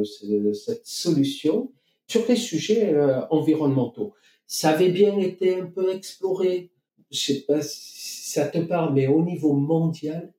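A man's voice: mid-range voice, mellow. A man from France answering interview questions in a hesitant, explanatory, conversational way. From France, Marseille. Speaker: interview guest, company co-founder. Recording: echoey room, distant from mic.

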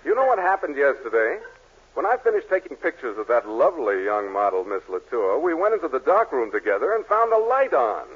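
An actor playing an exasperated photography boss in a 1940s radio comedy, formal and sarcastic. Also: male voice, mid-range voice, very animated, fairly quick, husky. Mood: suspicious. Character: dry, exasperated male photography studio boss. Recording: muffled, noisy 1940s radio recording.

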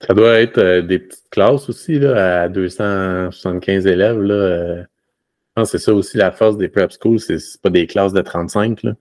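A man's voice: low-pitched, moderate pace, a little mellow, no echo. A man with a Quebec French accent, hosting a casual conversational interview. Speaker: podcast host.

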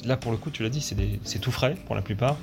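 A man's voice: medium pitch, fast talker, gravelly. A man of thirty-eight chatting casually as an interview guest, enthusiastic and emphatic, often repeating himself. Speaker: football commentator, interview guest. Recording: music playing behind the voice.

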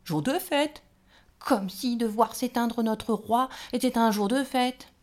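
A sulky little storybook fairy, gloomy and quick to grumble, speaking somewhat higher than a plain voice, as a woman reads her. Her lines come out brisk and put-upon. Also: fairly even intonation, a little mellow, lightly acted. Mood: grumbling.

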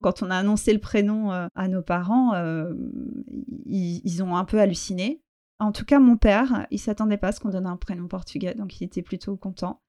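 A woman's voice: mid-range voice, fairly even intonation, normal speed, dark tone. A middle-aged woman from France, a guest in a personal interview, speaking reflectively with frequent fillers and restarts.

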